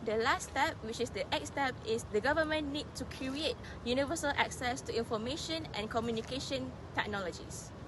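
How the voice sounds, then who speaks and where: A woman's voice: high voice, fairly quick, hardly any pauses, background noise. A young woman, a student presenter, reading scripted documentary narration.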